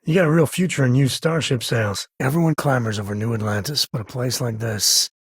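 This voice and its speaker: Rugged male space explorer for a video game, played by an actor: mid-pitched, husky, plainspoken, folksy, protective. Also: fairly quick, no echo, studio quality, natural voice. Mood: easygoing, wry. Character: rugged space cowboy explorer, wry, loyal, protective adult man. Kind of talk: video game character voice lines.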